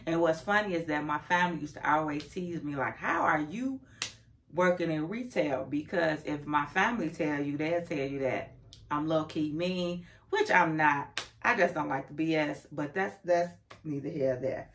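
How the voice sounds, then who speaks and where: A woman's voice: low voice, moderate pace, a bit bright, slight background noise. A woman, chatting casually to camera about her life, slangy and self-correcting. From Chicago, South Side. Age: 40s. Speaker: vlogger. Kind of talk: casual get-to-know-me chat.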